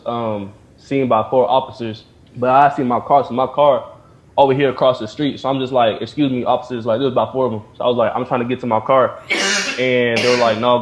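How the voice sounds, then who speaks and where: A nineteen-year-old student from Indianapolis tells his story casually, with ums and likes scattered through it. His voice sits at a middle pitch, and the recording sounds dull and muffled. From Indianapolis, USA. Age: late teens. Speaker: college student. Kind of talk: interview testimony.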